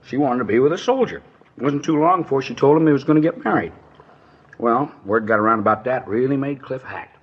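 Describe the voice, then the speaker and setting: A man's voice: medium pitch, brisk, husky, close to an everyday voice. A man playing a chatty, nervous dance hall proprietor with rambling, slangy speech in an old radio drama. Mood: gossipy. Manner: rambling, folksy, ungrammatical slang. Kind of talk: 1950s radio drama acting, naturalistic. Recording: dull old radio recording.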